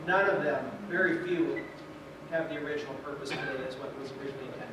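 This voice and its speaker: A man with a slightly high voice presents points at a public meeting, insistent as he moderates. He is recorded from a distance in a very reverberant hall, with clear background noise. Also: fast talker. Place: indoor theatre at community meeting. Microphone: distant camera microphone. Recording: very echoey theatre hall, distant, noisy.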